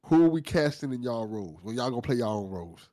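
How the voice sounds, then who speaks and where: A man's voice: medium pitch, lively intonation, fast talker, dark tone. A man hosting a casual panel chat and posing questions to the guests. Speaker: panel host.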